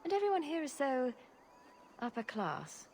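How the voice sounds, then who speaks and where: A woman's voice: high voice, huge pitch swings, normal speed, a little mellow, slight background noise, exaggerated voice. A woman playing a confident, sarcastic young rogue heroine in a fantasy game, dry and joking. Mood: wry.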